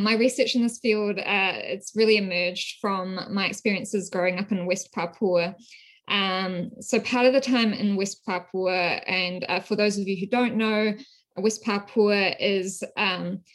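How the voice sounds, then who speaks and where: A woman from New Zealand with a New Zealand accent, a scholar speaking in a thoughtful, measured way with frequent ums. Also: mid-range voice. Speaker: guest scholar. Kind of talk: academic interview.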